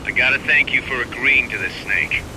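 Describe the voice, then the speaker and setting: A man playing a brisk, ambitious military second-in-command in a video game, speaking in an insistent, businesslike manner. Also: medium pitch, noisy background, lightly acted. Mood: familiar, probing. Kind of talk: video game cutscene acting.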